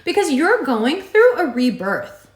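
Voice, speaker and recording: Raspy-voiced woman giving a horoscope. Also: high-pitched, very animated, a little slow. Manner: direct, emphatic, repeats advice. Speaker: astrology reader. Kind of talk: monthly horoscope reading. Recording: echoey room.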